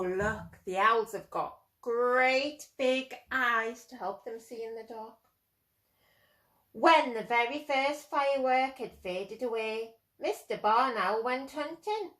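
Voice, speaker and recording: A woman tells a picture-book story to young children. Her voice is high and her delivery very unhurried. She reads expressively and explains in simple words. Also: a bit bright.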